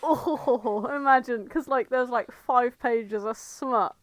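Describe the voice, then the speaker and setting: A young British woman co-hosting a podcast, chatting casually and comically, telling stories in an animated way and acting out bits of dialogue. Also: fairly high pitch, slow, dry recording. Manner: animated storyteller, acts out imagined dialogue. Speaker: podcast co-host. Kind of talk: casual comedic chat.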